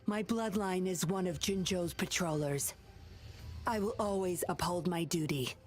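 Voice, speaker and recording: An actress playing a mysterious former patroller in a video game, composed, firm and solemn. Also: female voice, fairly low pitch, unhurried, a little mellow, soft background music, lightly acted. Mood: resolute. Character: mysterious, resolute former patroller woman, cool and secretive. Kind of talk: dramatic video game voice acting.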